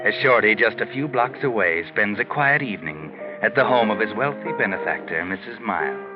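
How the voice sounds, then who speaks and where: An actor playing a sardonic, knowing narrator, posing insinuating questions in the style of a 1940s radio mystery. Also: male voice, fairly low pitch, lively intonation, fairly quick, over music, close to an everyday voice. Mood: sly, ominous. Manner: sly, insinuating rhetorical questions. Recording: muffled 1940s radio recording.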